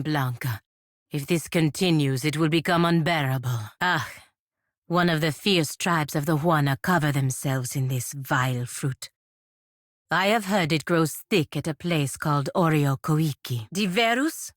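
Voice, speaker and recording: An actress playing a proud, duty-bound godlike knight in a video game, speaking formally and gravely. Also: female voice, sits low, lively intonation, unhurried, no room sound, studio quality. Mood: dry, disapproving.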